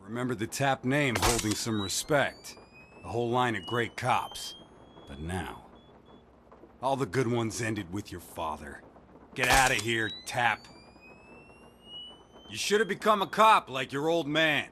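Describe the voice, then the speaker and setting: An actor playing a bitter veteran police officer in a video game, gruff and scornful. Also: male voice, medium pitch, lively intonation, a little slow, long pauses, lightly acted. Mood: contemptuous. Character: bitter, contemptuous male veteran cop. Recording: noisy background with clicks.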